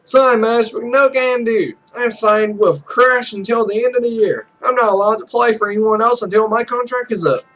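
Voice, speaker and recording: A man voicing a cocky, joking young esports pro from a visual novel game, with animated, wry storytelling. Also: extremely high pitch, normal speed, few pauses. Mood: apologetic.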